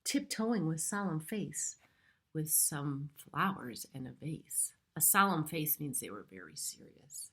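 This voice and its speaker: A middle-aged woman reads a storybook aloud for preschool children. Her delivery is slow and her voice is bright. She explains things simply, as one does for young listeners. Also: low-pitched, sing-song. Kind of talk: picture-book read-aloud with explanatory asides.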